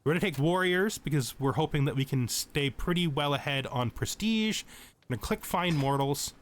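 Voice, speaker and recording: A man's voice: a little high, animated, moderate pace. A man giving casual tutorial commentary over a video game, explaining things as he thinks aloud.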